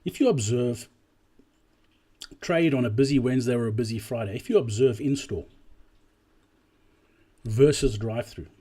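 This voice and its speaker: A man giving an explanatory business presentation as a voice-over, speaking deliberately and emphatically and repeating key phrases, with a slightly husky voice. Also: medium pitch, mellow. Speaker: presenter analysing drive-through performance.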